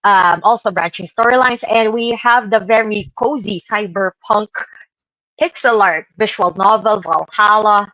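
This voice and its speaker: A woman's voice: medium pitch, unhurried, a bit bright, no room sound, poor audio. Woman doing a chatty slide talk on a stream, bit hesitant, dry sound. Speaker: podcast presenter. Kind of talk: informal slide presentation to co-hosts. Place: online live stream call.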